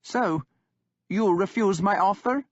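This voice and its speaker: A man's voice: high-pitched, slow pace, no echo, highs cut off, pitched above the performer's own voice. A man voicing a young male king who speaks in a proud, insistent way. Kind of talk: audiobook character voice.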